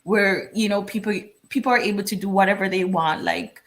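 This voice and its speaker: A woman's voice: mid-range voice, steady tone, a little fast. A woman from Jamaica, a guest on a video call interview, chatty and laughing often.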